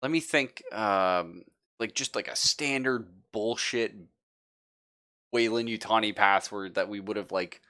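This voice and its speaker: This is a man with a very low but bright, forward voice. He runs a tabletop roleplaying game as game master. He improvises his narration casually, often halting to think aloud.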